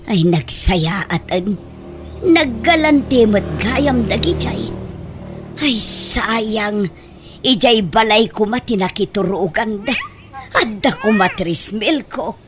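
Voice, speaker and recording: An actress playing an envious, scheming, gossipy village woman in an Ilocano comedy radio drama, with exclamatory, drawn-out theatrical reactions. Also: medium pitch, animated, music in the background. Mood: envious regret.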